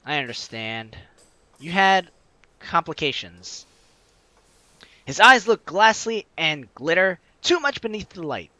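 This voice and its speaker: A man's voice: fairly high pitch, very animated, slow pace, long pauses, bright, forceful tone, no room sound. A man reading a story game aloud as a let's player, with dramatic narration and casual asides. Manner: dramatic reading, slipping into offhand comments.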